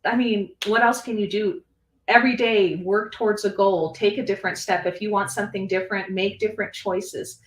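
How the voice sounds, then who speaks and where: A woman's voice: mid-range voice, steady tone, fairly quick, recorded from a distance. A woman coach and host speaks firmly and encouragingly in a motivational tone during a casual conversation. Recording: echoey room, voice off-mic.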